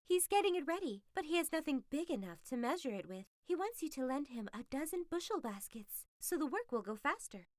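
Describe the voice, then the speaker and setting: A woman voicing a cunning, scheming female fox who talks in a brisk, persuasive, bossy way. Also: high voice, sing-song, darkish tone, dry recording, clean studio sound, pitched above the performer's own voice.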